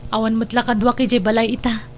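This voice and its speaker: A woman's voice: on the high side, flat delivery, fast talker, natural voice. A woman with an Ilocano accent playing a lonely, resentful young wife in an audio drama, plaintive and evasive. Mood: wistful.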